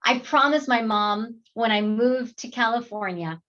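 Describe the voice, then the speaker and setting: An actress from New York, chatting in an interview in an animated, talkative way, with many fillers and frequent laughter. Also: female voice, on the high side, a little slow, highs cut off. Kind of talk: casual interview chat.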